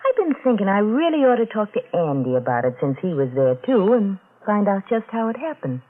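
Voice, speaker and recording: Tinny old radio, a sly young wife, silky n pointed, voice goes up and down a lot. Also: female voice, mid-range voice, very animated, brisk. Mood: sly, threatening. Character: cool, sly young wife, sure of herself, quietly threatening. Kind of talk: 1940s radio drama acting. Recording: thin old-time radio recording.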